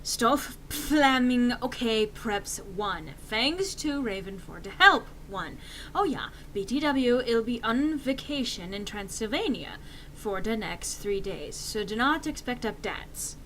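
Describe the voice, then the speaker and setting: A woman's voice: a little high, animated, slow, slight background noise, low-quality recording. A woman giving an exaggerated dramatic reading of fan fiction in a theatrical manner. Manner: theatrical, swinging into shouts for quotes.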